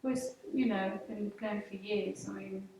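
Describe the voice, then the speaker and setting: A middle-aged woman with an average-pitched voice chats casually, reminiscing as a local would. She is recorded in a very echoey room, away from the mic, with faint noise. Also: steady tone, moderate pace, darkish tone, recorded from a distance. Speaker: local interviewee and pantomime participant.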